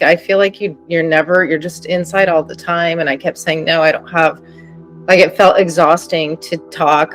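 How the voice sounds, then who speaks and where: A woman, a helping professional, speaking candidly and earnestly about her own experience in a casual panel chat. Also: fairly low pitch. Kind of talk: casual reflective conversation.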